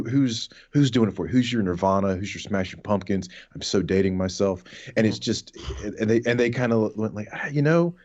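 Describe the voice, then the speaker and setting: A man's voice: on the low side, a little mellow, muffled recording. A man in his thirties co-hosting a podcast, reminiscing casually in a rambling, sweary way. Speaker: podcast co-host.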